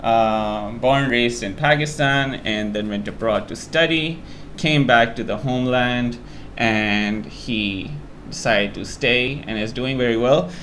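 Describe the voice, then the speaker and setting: A man's voice: medium pitch, takes it slowly, fairly bright tone, a little hiss or hum. A man hosting a casual interview show, talking in a rambling, self-deprecating way.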